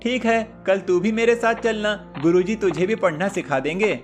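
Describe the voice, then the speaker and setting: Man playing a high-voiced young cartoon prince. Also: moderate pace, faint music behind, lightly acted. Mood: caring. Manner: teasing, explanatory. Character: teasing, patient young prince, older brother. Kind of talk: cartoon moral story acting.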